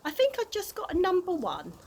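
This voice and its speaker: A woman's voice: extremely high pitch, huge pitch swings, brisk, vocal fry, a little mellow, a little hiss or hum, pitched above the performer's own voice, exaggerated voice. A woman voicing a courteous, cheerful old male tramp who is chatty and oblivious in a storybook reading. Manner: courteous, chatty, proudly well-mannered.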